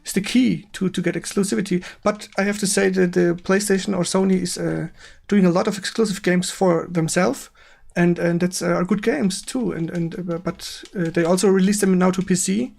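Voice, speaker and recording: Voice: medium pitch, steady tone, brisk, gravelly, few pauses, a little mellow. A game stream host with a German accent, chatting casually and searching for words in a second language.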